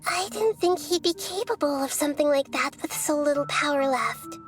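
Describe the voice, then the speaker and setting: A woman voicing a gentle, wise, childlike young goddess in a video game, with a thoughtful, articulate delivery. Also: high-pitched, expressive, normal speed, music in the background. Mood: concerned, puzzled.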